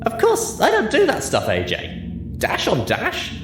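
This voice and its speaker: A man's voice: high voice, huge pitch swings, measured pace, echoey room, music in the background, pitched above the performer's own voice, exaggerated voice. A man voicing a brash, boastful, tipsy female pegasus pony in an audiobook-style reading, full of giggly bravado.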